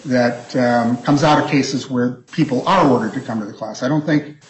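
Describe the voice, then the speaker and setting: Guy lawyer answering judges, stumbly, keeps restarting, mid pitch, echoey courtroom, faint hiss. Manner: halting, repeats words and restarts. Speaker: appellant's attorney. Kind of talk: appellate oral argument. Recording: roomy courtroom echo.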